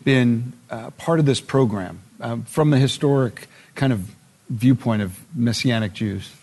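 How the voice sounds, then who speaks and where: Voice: mid-range voice, measured pace, darkish tone, husky. An interviewer hosting a faith discussion, asking questions and offering short devotional affirmations. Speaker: interviewer, host.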